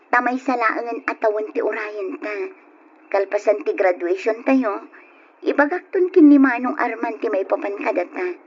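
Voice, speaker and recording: A woman's voice: fairly high pitch, slight background noise, natural voice. A woman playing an affectionate young student, teasing and dutiful, in an old-style radio drama on a dull lo-fi recording.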